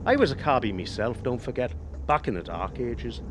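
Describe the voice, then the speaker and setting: An actor playing a chatty, wily older ex-cabbie in a radio drama, with a Northern Irish accent and a wry, insistent manner. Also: male voice, medium pitch, animated, moderate pace, heavy background noise, lightly acted. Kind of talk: naturalistic radio drama acting.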